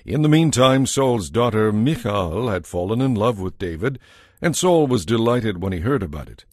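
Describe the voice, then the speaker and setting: A man narrating a dramatized Bible story from a script in a measured, expressive storytelling manner. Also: on the low side, no echo, studio quality.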